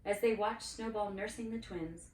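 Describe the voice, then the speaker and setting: A woman reading a children's story aloud expressively, with dramatic, deliberate phrasing. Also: medium pitch, steady tone, a little mellow. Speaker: storybook reader, cantor. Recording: roomy, distant mic with faint noise.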